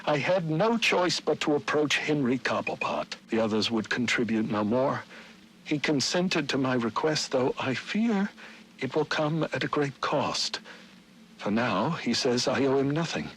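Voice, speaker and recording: An actor playing a middle-aged Victorian gentleman, a scheming city founder, narrating his journal in formal, dramatic, archaic diction for a video game. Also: medium pitch, expressive, unhurried, a little hiss or hum, poor audio. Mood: grave, worried.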